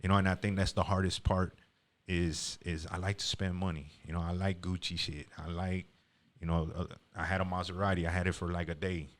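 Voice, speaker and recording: Deep, flat-voiced man, halting. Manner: rambling, halting. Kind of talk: casual chat.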